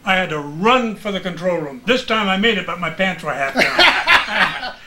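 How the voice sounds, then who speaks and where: An older man reminiscing casually as a former radio broadcaster, an animated storyteller who acts out the exchanges he remembers. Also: sits high, normal speed, heavy background noise. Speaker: retired radio broadcaster recalling his career.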